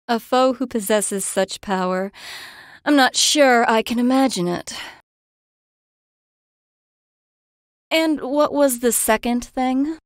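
A woman playing a haughty, skeptical villain commander in a fantasy game, speaking in a measured, disdainful way. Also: a little high, no room sound, studio quality, natural voice. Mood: doubtful. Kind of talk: fantasy video game voice acting.

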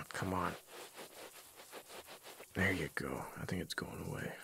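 A man playing a playfully exasperated, affectionate husband in a boyfriend ASMR roleplay, with a deep, husky voice and a halting, casual way of talking. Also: full character voice. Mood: weary, grumbling. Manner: halting, casual, muttering with repeated words.

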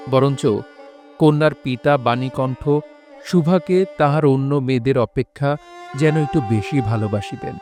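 A man narrating a literary story in a formal, measured, solemn style. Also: mid-range voice, lightly acted. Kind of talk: scripted literary narration in old formal Bengali.

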